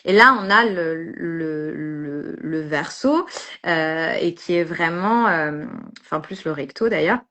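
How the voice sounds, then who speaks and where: A woman's voice: low voice, expressive, takes it slowly, bright, forceful tone. A woman from France, a street photographer, chatting as an interview guest and talking hesitantly with many fillers and restarts. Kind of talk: casual interview chat.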